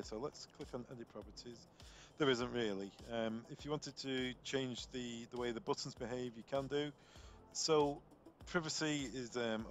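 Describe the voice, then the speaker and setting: A man presenting an unscripted software tutorial step by step, explaining as he goes and often repeating words as he finds his phrasing. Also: medium pitch. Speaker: product trainer demonstrating intercom software.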